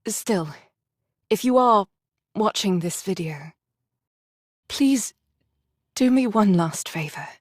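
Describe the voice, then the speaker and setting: An actress voicing a gentle, sorrowful young woman soldier in a video game, speaking tenderly and earnestly. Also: medium pitch, lively intonation, slow, pauses often, dry recording, clean studio sound. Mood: wistful, tearful. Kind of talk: dramatic game voice acting.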